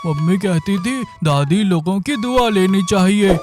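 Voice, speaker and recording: A naive, food-loving sidekick thief in a cartoon, voiced by a man. His voice sits very high and sounds eager and whiny. Faint music plays underneath. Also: expressive, unhurried, darkish tone. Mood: silly.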